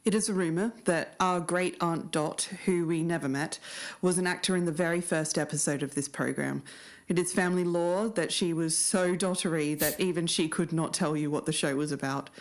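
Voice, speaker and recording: A woman's voice: on the low side, fairly even intonation, normal speed. A woman co-hosting a podcast and delivering scripted narration. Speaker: podcast co-host.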